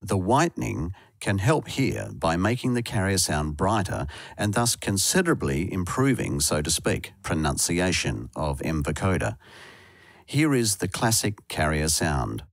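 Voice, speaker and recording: A man's voice: deep voice, sing-song, unhurried, gravelly, no echo, studio quality. A man reading scripted instructional narration for a tutorial as a voice-over. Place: close, dry voice-over recording.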